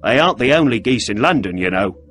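A man voicing a grumpy, defensive male shopkeeper who snaps quick, irritable answers. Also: medium pitch, animated, a bit bright, faint music behind, lightly acted.

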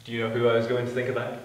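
A man's voice: mid-range voice, lightly acted. A man playing a bitter, self-loathing petty thief who swears through a raw confession. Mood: sheepish. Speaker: actor in a scene. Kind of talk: dramatic monologue then comic dialogue. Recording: echoey room.

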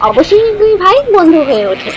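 A woman narrating a scripted children's story in a clear, instructive manner. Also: very high voice, big pitch swings, a little fast, muffled recording, a crowd in the background, noisy background. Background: background music.

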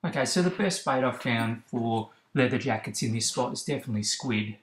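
A man talks through fishing directions in a steady, instructive voice that sits in an ordinary middle range. The recording has a roomy echo around it. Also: medium pitch, a little fast, a little hiss or hum. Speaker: fishing channel host. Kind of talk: instructional voice-over about kayak fishing spots.

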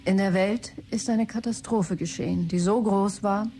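A woman's voice: mid-range voice, fairly even intonation, normal speed, mellow, a little hiss or hum, natural voice. An actress playing a driven, earnest female executive in a German radio play. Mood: wistful. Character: businesslike, driven female executive presenting a tech venture.

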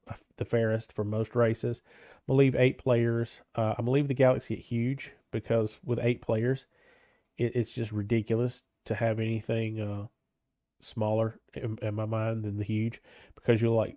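A man with a stuffy, congested voice talks through a strategy game in a loose, meandering way, tripping over his words and circling back. His tone is dark and mellow, and the recording is dry and close, with no room around him. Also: mid-range voice, dry recording. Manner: rambling, hesitant with many restarts. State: stuffed up from a cold. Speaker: gaming channel host. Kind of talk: casual let's-play commentary.

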